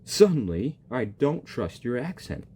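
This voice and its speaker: A young man reacting to a video game for his channel, joking and excitable, talking back to the characters. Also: medium pitch, a little slow, slight background noise. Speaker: gaming YouTuber. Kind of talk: casual game reaction commentary.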